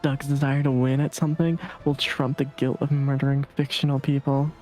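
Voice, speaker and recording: A man's voice: mid-range voice, flat delivery, a little fast, a little mellow, soft background music. A man casually reading along and chatting as a player on a live game stream.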